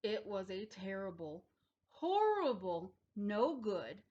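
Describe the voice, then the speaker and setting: Woman voicing a put-upon young boy, grumpy storybook complaining, slow and emphatic, dull lo-fi sound. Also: mid-range voice, sing-song, mellow. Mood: exasperated, complaining. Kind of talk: children's picture book read-aloud.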